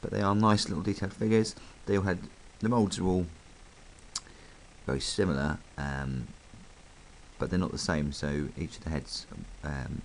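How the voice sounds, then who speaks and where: A man with a croaky voice from hay fever, chatting casually and rambling a little as he reviews a toy. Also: low voice, steady tone, moderate pace, slight background noise, poor audio. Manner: chatty, rambling, full of ums.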